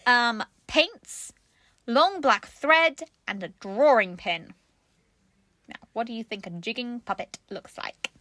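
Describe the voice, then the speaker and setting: A woman with a high voice that moves around a lot, chatting casually as co-host of a craft show. She sounds giggly and amused, and the sound is close and dry. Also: animated, slow, sharp tone, no echo. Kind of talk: casual, jokey chat.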